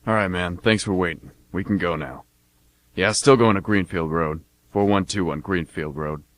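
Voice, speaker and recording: A man's voice: deep voice, normal speed, fairly bright tone, no room sound. A man playing a laconic, slangy army veteran chatting as a cab passenger in an amateur radio drama. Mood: edgy, impatient. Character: gruff male army veteran cab passenger, guardedly chummy.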